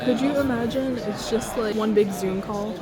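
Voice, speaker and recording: A woman's voice: a little high, moderate pace, rough recording. A woman chatting casually with a friend in a giggly, joking way. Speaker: audience member filming the event. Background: loud crowd.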